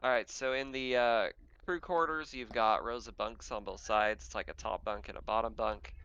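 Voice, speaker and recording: A man casually narrating a tabletop roleplaying game as game master, pausing often as he describes things. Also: fairly low pitch, gravelly, a bit bright, clean tone. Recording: dull, muffled voice track.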